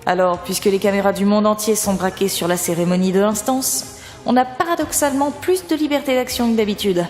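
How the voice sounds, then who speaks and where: A woman's voice: medium pitch, a little fast, darkish tone, lightly acted. A woman playing a stern, foul-mouthed government lab boss in an audio drama, curt and commanding. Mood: authoritative, businesslike. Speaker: lab director. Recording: long hall reverb, music underneath. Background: music.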